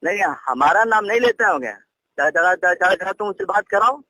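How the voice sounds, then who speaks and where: A man's voice: sits high, lively intonation, moderate pace, bright, forceful tone, no room sound. A middle-aged man, a senior police official arguing on a phone call, scolding, interrupting and repeating his words as he stumbles. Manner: stammering repetitions, scolding, interrupting. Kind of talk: heated phone argument. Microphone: telephone call.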